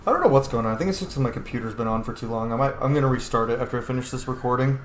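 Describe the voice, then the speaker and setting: A man records casual gameplay commentary in a mid-pitched voice, muttering to himself as he plays. The room is echoey, with faint noise behind him. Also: rapid-fire. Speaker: gamer recording a roleplay playthrough. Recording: roomy echo, faint background noise.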